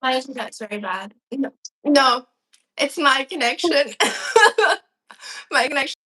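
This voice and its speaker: A woman's voice: sits high, animated, takes it slowly, pushed and bright, poor audio. A young woman from China hosting a casual livestream chat over a video call, asking her guest questions. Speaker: livestream host.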